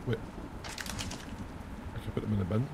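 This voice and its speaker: A raspy, gravelly man in his thirties talks through a game on a headset at home, reading questions aloud and cracking jokes between answers. His voice is a little low and worn hoarse, with a steady noisy hiss behind it. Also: normal speed. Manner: reads on-screen questions aloud and answers them, jokey. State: hoarse from days of singing. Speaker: gaming channel host. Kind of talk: casual let's-play commentary. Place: home room, indoors.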